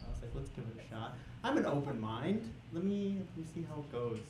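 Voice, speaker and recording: A young man doing a stand-up comedy set on a club stage, hyping the crowd and then dropping into deadpan setups. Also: a little high, brisk. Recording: echoey club with crowd noise.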